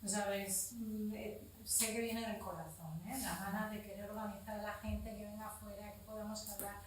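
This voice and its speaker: A woman's voice: mid-range voice, little pitch movement, moderate pace, background noise. A Spanish woman in her forties who talks frankly and swears casually, speaking up from the audience at a public event. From Spain. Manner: blunt and frank, swears casually, many restarts. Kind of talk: impassioned spontaneous public comment. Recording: echoey room, from far off.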